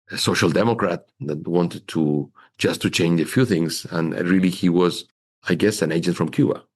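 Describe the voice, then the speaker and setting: Deep-voiced man explaining. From Chile. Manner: measured, explanatory, frequent "you know" fillers. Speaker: investment officer guest. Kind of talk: interview answers.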